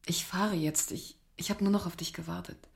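An actress playing a sad, resigned woman in a radio drama, speaking in a hushed, tender, halting way. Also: fairly low pitch, fairly even intonation, creaky. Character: sad, resigned woman leaving her lover. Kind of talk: German radio drama acting.

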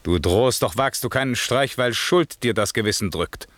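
A man's voice: mid-range voice, animated, normal speed, fairly bright tone, no room sound, lightly acted. An actor playing a stern middle-aged sorcerer father in a classic radio drama, imperious and scolding, with theatrical delivery. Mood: threatening. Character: stern, commanding sorcerer father, lord of an island. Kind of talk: classic German radio drama verse acting.

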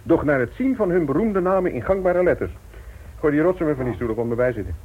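An actor playing a flippant small-town newspaper editor in a radio play, chatty and mock-pompous with florid, ironic phrasing. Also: male voice, a little high, very animated, quick pace, noisy background. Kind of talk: Dutch radio play acting. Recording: dull old radio recording.

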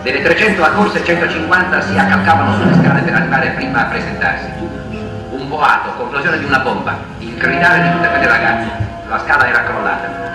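Male narrator, 1950s newsreel delivery, very reverberant distant soundtrack with loud music underneath. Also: fairly high pitch.

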